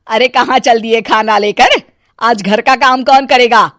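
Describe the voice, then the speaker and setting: A woman playing a domineering, scheming stepmother-in-law in a melodramatic story video, with a shrill, haughty delivery. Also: fairly even intonation, measured pace, fairly bright tone, dry recording, clean studio sound. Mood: bossy. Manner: shrill, haughty, stammers when frightened.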